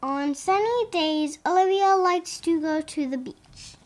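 Young child, about six, high voice, slow delivery, storybook read-aloud, conversational asides. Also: noisy background. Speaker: child reader reading a picture book aloud.

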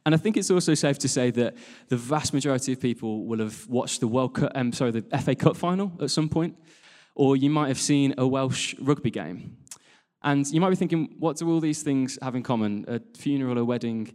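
A man's voice: medium pitch, brisk, darkish tone. A British man giving an informal sermon in a chatty, jokey way, speaking as a church worship leader and engaging his listeners with questions. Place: large church hall in front of a congregation. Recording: reverberant hall, live church talk.

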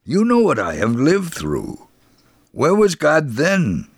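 A man reading a scripted first-person testimony as an elderly, gentle, devout Holocaust survivor, in a measured, earnest and deliberate manner. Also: a little high, wildly swooping pitch, husky, dry recording, clean studio sound, heavily acted. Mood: earnest, encouraging.

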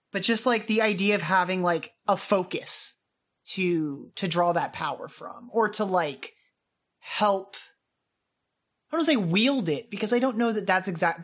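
Casual woman podcaster thinking aloud. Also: mid-range voice. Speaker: podcast co-host. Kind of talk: casual chat about a film radio drama.

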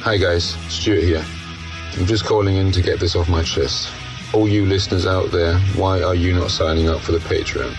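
A man calling in with a slow, earnest recorded promo urging listeners to support the show. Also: low voice, fairly even intonation. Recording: promo with music bed behind. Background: plucked guitar music.

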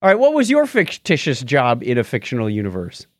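Animated man co-hosting a podcast. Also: medium pitch, brisk, no echo, studio quality. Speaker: podcast co-host. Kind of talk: casual banter, reading listener feedback.

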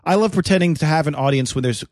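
A man's voice: on the high side, fast talker, dry recording, clean studio sound. Rambling man co-hosting a comedy podcast. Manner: rambling, lots of fillers and restarts, crude jokes. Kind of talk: casual banter podcast. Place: apartment room.

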